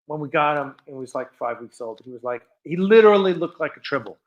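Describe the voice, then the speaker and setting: A man hosting a casual, unscripted livestream, talking in a halting, rambling way and often interrupting himself. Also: fairly high pitch, animated, lo-fi sound. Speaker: livestream host.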